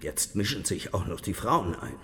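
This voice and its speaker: An actor playing a haughty, weary and sardonic prince in a historical radio drama, commanding and condescending in his measured speech. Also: sits low, sing-song, pushed and bright, a little hiss or hum, exaggerated voice. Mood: irritated, scornful. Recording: long hall reverberation.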